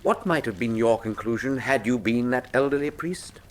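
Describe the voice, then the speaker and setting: An actor playing an urbane, self-assured British detective in a radio drama, suave, theatrical and dryly ironic. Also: male voice, medium pitch, moderate pace, slight background noise, close to an everyday voice. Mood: measured, confiding.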